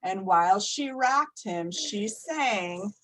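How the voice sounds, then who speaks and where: A woman reading a children's story aloud in a gentle, repetitive storybook cadence. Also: medium pitch, animated, slow.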